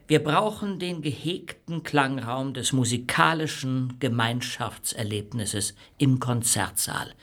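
A man's voice: medium pitch, a bit bright. A man playing a lofty old conductor. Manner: lofty, earnest, declaiming. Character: proud older male orchestra conductor. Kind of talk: radio play acting.

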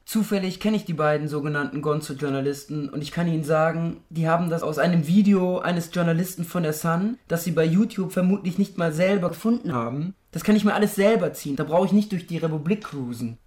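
A man's voice: on the high side, fast talker, hardly any pauses, darkish tone, natural voice. A man playing a dismissive, sarcastic culture critic in a radio drama, speaking in rhetorical jabs. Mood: sardonic. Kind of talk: scripted radio feature discussion.

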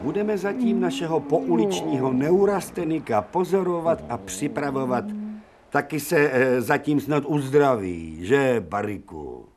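An actor playing an authoritative surgeon professor, emphatic and indulgent, often repeating himself, in a radio drama. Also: male voice, fairly high pitch, expressive, background noise.

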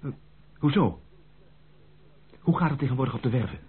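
Husky, earnest gentleman. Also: mid-range voice, moderate pace. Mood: concerned, probing. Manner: formal, earnest, dramatic. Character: earnest, lovelorn young gentleman of rank. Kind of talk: Dutch radio drama acting. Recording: muffled, band-limited old radio recording.

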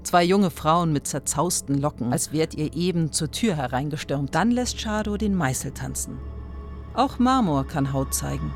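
A man narrating a literary radio play in measured, clipped poetic phrases, as if addressing its subject directly. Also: on the high side, animated, faint music behind.

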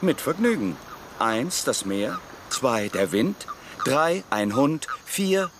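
Slow, dreamy, quiet man. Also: mid-range voice, sing-song, noisy background. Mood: contemplative. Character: quiet, dreamy man slowly listing night sounds and stars. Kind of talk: literary radio drama acting.

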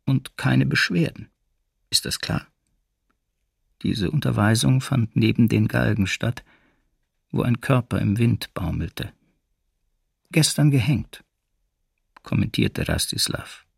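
Former camp prisoner, heavily creaking husky voice, deliberate, restrained radio drama testimony. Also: male voice, mid-range voice, big pitch swings, dry recording, studio quality, full character voice. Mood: restrained, grim. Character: male former camp prisoner soberly recounting his ordeal. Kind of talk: documentary radio drama, first-person testimony.

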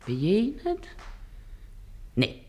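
A woman's voice: mid-range voice, huge pitch swings, drawn-out words, warm tone, slightly rough, full character voice. A woman acting a shrewd, brazen middle-aged working-class washerwoman in a German radio play, plain-spoken and colloquial, feigning innocence. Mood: feigned innocence.

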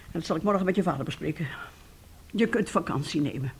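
An actress playing a domineering, bitter middle-aged mother in a radio play, husky and sharp-tongued. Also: fairly low pitch, lively intonation, fast, slight background noise. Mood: determined, accusing. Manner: scolding, sharp-tongued, self-righteous.

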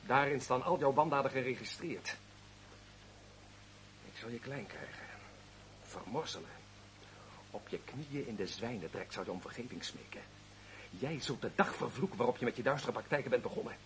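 An actor in a radio drama playing a vindictive, power-drunk official, theatrical and menacing, moving between hissed threats and bellowing. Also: male voice, medium pitch, normal speed, pauses often, fairly bright tone, lightly acted, poor audio. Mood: furious, menacing.

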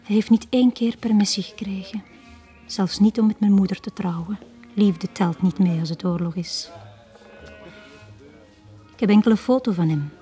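A mellow-voiced Flemish woman, played by an actress, quietly confides her sad past in a 1980s radio drama. She is intimate and wistful, often dropping near a whisper. Faint music plays behind her. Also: mid-range voice, normal speed, long pauses, close to an everyday voice. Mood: sorrowful. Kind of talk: 1980s Dutch-language radio drama acting.

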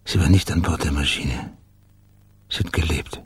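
An actor playing a dogged private investigator in a German radio crime drama, matter-of-fact and persistent, with a husky voice. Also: male voice, extremely low pitch, fairly even intonation, normal speed, full character voice. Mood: brooding.

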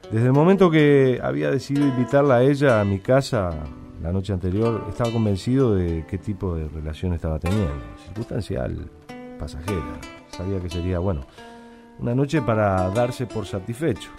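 A man's voice: lowish voice, normal speed, vocal fry, over music. A man playing a moody, distracted factory worker in a radio drama, with a Rioplatense Argentine accent. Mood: brooding. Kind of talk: naturalistic radio drama acting with first-person narration.

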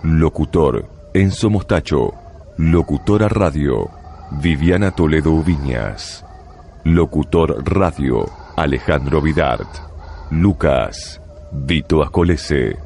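A man announces radio drama credits in an extremely low voice. His delivery is slow and scripted, and there is clear noise behind him. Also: expressive, darkish tone. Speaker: announcer reading cast and crew credits.

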